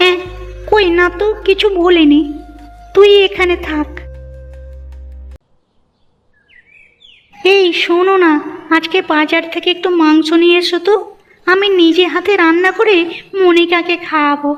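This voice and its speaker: A woman's voice: very high-pitched, fairly even intonation, moderate pace. A woman voicing a sly, greedy village aunt who puts on sweetness, theatrically, in a moral-story cartoon. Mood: falsely sweet. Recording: dull audio with music underneath.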